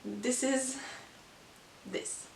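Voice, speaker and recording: A woman's voice: on the high side, fairly even intonation, gravelly, darkish tone, a little hiss or hum. Hesitant woman, non-native English, roomy distant mic. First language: not English. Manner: hesitant, halting delivery. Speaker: book vlogger. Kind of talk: casual chat to camera about books.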